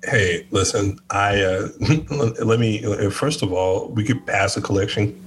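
Animated man, preacherly and joking. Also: fairly low pitch, unhurried. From Arkansas. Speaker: podcast guest. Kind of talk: casual conversation on faith.

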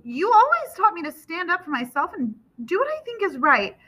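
A storybook teenage boy, acted by a woman, with a bright, forceful, high voice. He is earnest and defiant, pleading his case with urgent, indignant insistence. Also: lively intonation, moderate pace.

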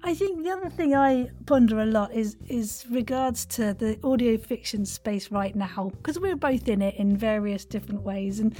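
A woman podcast host with a fairly high voice and a husky, breathy edge. She interviews with theatrical enthusiasm and a playful, effusive way of talking. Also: normal speed, few pauses, dark tone.

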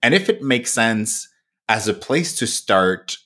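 A man hosting a podcast interview, easygoing and quick to laugh between questions. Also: medium pitch, sing-song, a bit bright. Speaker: podcast host.

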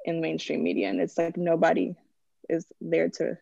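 Woman, 20s, earnest podcast guest, mellow tone, low pitch. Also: level tone, moderate pace, creaky, no echo, highs cut off. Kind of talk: casual group chat.

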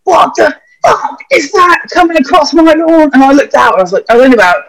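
A young man with a notably high voice tells loose, rambling stories, dropping swear words and filler words as he goes. He is a vet chatting casually on a podcast. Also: very animated, fairly quick, a bit bright, poor audio.

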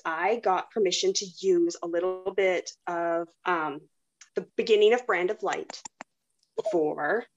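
A woman's voice: on the low side, highs cut off. A woman hosting a podcast in a casual, conversational way. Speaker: podcast host.

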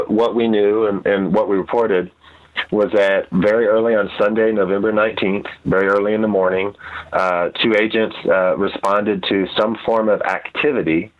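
A man giving an off-the-cuff news account as an interview guest, careful and halting, often repeating words. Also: lowish voice. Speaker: guest journalist, news editor. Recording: dull, lo-fi remote-guest audio.

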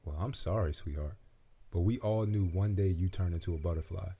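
Brief: soft-spoken motherly ladybug, put on by a male reader, gentle and caring, dark mellow tone. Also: fairly low pitch, big pitch swings, a little fast, vocal fry, slightly rough, heavily acted. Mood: warm teasing. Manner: tender, affectionate.

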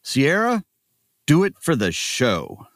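A co-host in a comedic audio sketch, making dry, deadpan wisecracks. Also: medium pitch, slow, no room sound.

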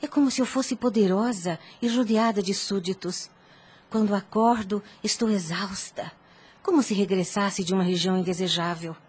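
Woman acting devout troubled lady, husky mid-pitched voice, earnest, formal archaic phrasing, radio drama. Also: moderate pace, a little mellow, close to an everyday voice. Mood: weary, confiding. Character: devout, troubled woman seeking counsel from her priest.

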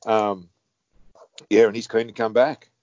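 A man's voice: lowish voice, flat delivery, measured pace, darkish tone, dry recording, highs cut off. A man, a head referee talking casually in a wry, blunt way. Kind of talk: casual conversation.

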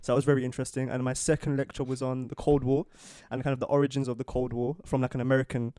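A young man co-hosting a podcast, relaxed and chatty, with frequent ums. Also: mid-range voice, racing, slight background noise. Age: young adult. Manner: relaxed, calls others bro, uses um often. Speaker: co-host. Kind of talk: casual podcast chat.

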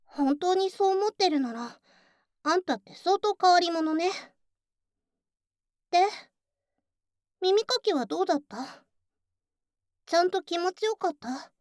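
A woman's voice: very high voice, animated, unhurried, long pauses, no room sound, clean studio sound. A woman playing a prickly but secretly caring young tsundere friend, brusque and teasing in an ASMR voice drama. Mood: defensive. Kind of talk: ASMR situation voice drama acting.

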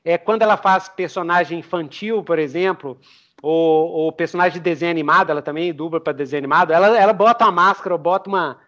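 A Brazilian man chatting casually as a podcast host, telling stories in an animated way. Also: a little high, fast. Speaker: podcast host, game master.

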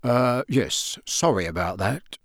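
A man voicing a cheerful, flustered middle-aged wizard father in a storybook, excitable, rambling and apologetic. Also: medium pitch, takes it slowly, no room sound, clean studio sound. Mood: apologetic, reassuring.

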